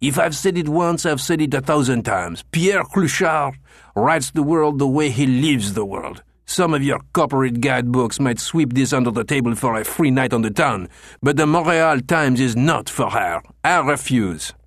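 A man's voice: medium pitch, animated, a little slow, few pauses, fairly bright tone, no echo, clean studio sound, voice pushed up. A man reading an audiobook and voicing an irate elderly French Canadian travel critic with a thin, nasal accent. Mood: raging indignant.

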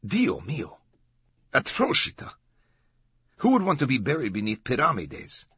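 A man's voice: medium pitch, huge pitch swings, unhurried, fairly bright tone, slightly rough, dry recording, lo-fi sound, voice pushed up, exaggerated voice. A man voicing a scornful, theatrical church docent in an audiobook. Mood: scornful dismay. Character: horrified, scoffing Italian male church docent.

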